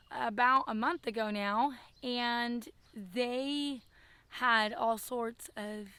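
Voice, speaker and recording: A woman with a hoarse, scratchy voice chatting casually and practically about her home garden, outdoors on an earbud mic. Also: on the high side, pushed and bright, no room sound. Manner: chatty, practical, self-deprecating. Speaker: homesteading vlogger. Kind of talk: casual how-to chat. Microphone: wireless earbud mic.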